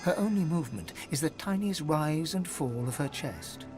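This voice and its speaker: A man playing a calm storyteller who narrates a fantasy video game in a measured, descriptive way. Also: on the high side, soft background music, close to an everyday voice. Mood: calm, hushed. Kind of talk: scripted narration.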